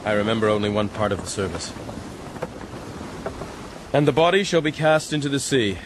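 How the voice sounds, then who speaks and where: A man acting as a steadfast castaway gentleman at sea in a radio drama. He is earnest and protective, with formal, literate phrasing. Noisy boat and sea effects sit behind him. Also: on the low side, lively intonation, normal speed, close to an everyday voice. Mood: solemn.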